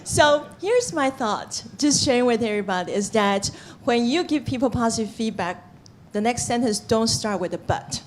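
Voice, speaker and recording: Laughing teacherly woman with higher voice. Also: lively intonation, normal speed, slight background noise. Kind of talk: coaching comments on a panel.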